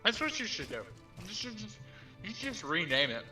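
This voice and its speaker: A man's voice: sits high, very animated, brisk, bright, forceful tone, soft background music. A man co-hosting a casual livestream, pushing his questions and suggestions. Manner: pushy, keeps pressing questions. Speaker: co-host.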